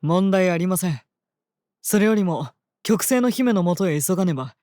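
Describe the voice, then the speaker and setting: An actor playing a courteous, unnervingly calm guardian of a princess in a fantasy audio drama, speaking formally and precisely. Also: male voice, high-pitched, moderate pace, no echo. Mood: composed, probing. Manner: formal, gentle, precise polite speech.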